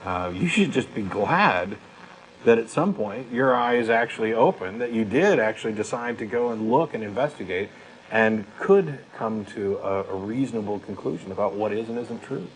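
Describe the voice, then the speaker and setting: A man with a fairly low voice talks through his views as a talk show co-host. He is emphatic and argumentative, repeating himself for stress. There is faint noise and room ambience behind him. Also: animated, normal speed, poor audio. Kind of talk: conversational discussion, personal reflection.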